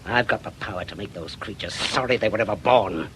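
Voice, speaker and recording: A man's voice: on the low side, a little fast, fairly bright tone, lightly acted. A man playing an older retired policeman in a radio drama, vengeful, self-important and emphatic, on a noisy recording. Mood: bitter, determined.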